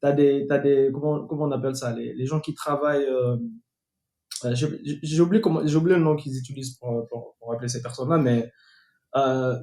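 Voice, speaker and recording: A man's voice: medium pitch, fairly even intonation, a little fast, warm tone. A young man from Guinea, a podcast guest telling his life story in casual talk, with many fillers and restarts. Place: home in Atlanta. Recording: echoey room over a remote call.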